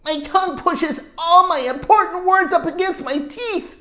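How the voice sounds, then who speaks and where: A woman voicing an excitable, impatient young schoolboy in a picture-book story, with exuberant delivery. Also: very high-pitched, a little slow, pitched above the performer's own voice. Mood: excited, erupting. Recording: roomy echo.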